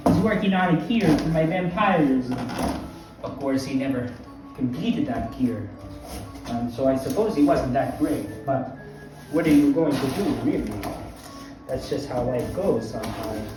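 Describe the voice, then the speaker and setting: A man's voice: mid-range voice, a little slow, recorded from a distance, lightly acted. A man playing a rambling old vampire count who guides viewers through a craft project and keeps drifting into anecdotes. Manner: digressive, wanders into anecdotes. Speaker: craft tutorial host in character. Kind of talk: in-character crafting tutorial. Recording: very echoey room, mic from afar. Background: music playing.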